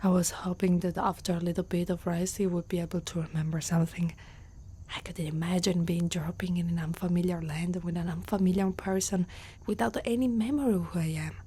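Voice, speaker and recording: A woman from Italy playing an older, protective female centaur warrior haunted by loss, in a soft, slow, breathy whisper for an ASMR roleplay. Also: fairly low pitch. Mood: reassuring, protective.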